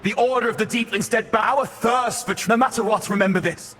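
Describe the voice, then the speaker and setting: High, forceful, defiant man. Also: fairly quick, a little hiss or hum. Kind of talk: video game voice acting.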